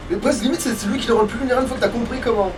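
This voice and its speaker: High-pitched man gaming, slangy and sweary. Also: rapid-fire, over music. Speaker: let's player at the controls. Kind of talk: casual gaming commentary chat. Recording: distant mic, loud background noise.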